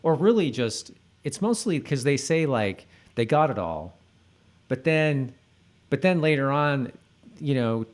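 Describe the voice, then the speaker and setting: A man's voice: mid-range voice, sing-song, unhurried. A man co-hosting a podcast in casual conversation, often repeating words as he thinks aloud. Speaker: podcast co-host.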